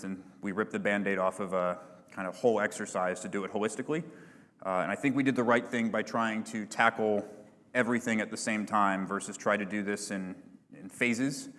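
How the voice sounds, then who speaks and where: A man presenting at a business conference, answering audience questions in a relaxed, filler-heavy corporate manner. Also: on the low side, long reverb tail. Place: large conference hall.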